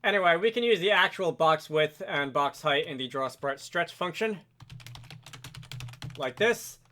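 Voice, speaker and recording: A man presenting an unscripted software tutorial, thinking aloud and correcting himself as he works. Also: fairly high pitch, expressive, measured pace, slight background noise. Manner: hesitant, self-correcting, thinking aloud while working. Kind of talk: unscripted screen-recorded software tutorial narration.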